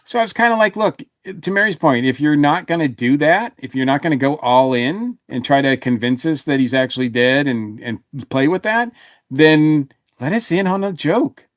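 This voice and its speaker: A man talking casually as a podcast panelist, giving an animated review and acting out imagined quotes. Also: on the high side, normal speed, no echo, studio quality.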